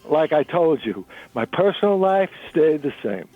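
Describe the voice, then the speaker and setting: A man's voice: a little high, sing-song, a little slow, no room sound, soft background music. Man reading as uneasy, earnest husband on radio. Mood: matter-of-fact. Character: uneasy, uncertain married man, former Fordham student. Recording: narrow-band radio recording.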